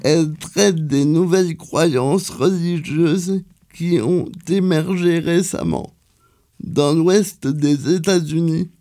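A man reading a cultural events column on local radio, slow and careful, with some hesitations. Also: on the high side, warm tone.

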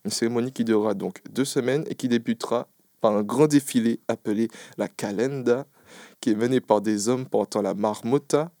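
A man's voice: medium pitch, moderate pace, mellow, dry recording, studio quality. A man giving his events segment on a casual radio show, laughing often and tripping over his words.

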